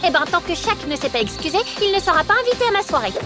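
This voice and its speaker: A woman voicing a proud, assertive young girl in a cartoon, with expressive delivery. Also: very high-pitched, very fast, music in the background. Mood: bossy, boastful. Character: bossy, proud young girl hosting her party. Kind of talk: French cartoon dub acting.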